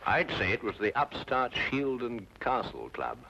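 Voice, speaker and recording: An actor playing a brilliant, coolly logical Victorian detective with a precise British delivery in an old-time radio drama. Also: male voice, medium pitch, big pitch swings, unhurried, gravelly, sharp tone, exaggerated voice. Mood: analytical. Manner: precise, assured, drily deductive. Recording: dull, noisy old radio drama recording.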